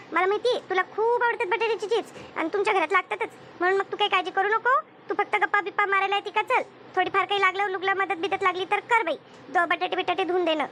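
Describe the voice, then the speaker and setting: Cartoon village housewife, played by a woman: very high, bright voice, rural Maharashtrian accent, bossy, chatty, caring. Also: a little hiss or hum. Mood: friendly, chatty. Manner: chatty, scolding, rambling rural homemaker talk. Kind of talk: comedy cartoon dubbing.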